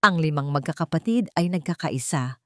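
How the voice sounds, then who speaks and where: Female narrator for dramatic audio fiction, lowish voice, dry close sound. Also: expressive, a little fast, darkish tone, no room sound. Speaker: story narrator.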